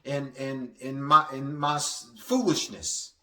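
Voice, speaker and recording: A man preaching a motivational sermon in a halting, stammering way, repeating phrases for emphasis. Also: medium pitch, fairly even intonation, bright, forceful tone. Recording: echoey, reverberant room sound.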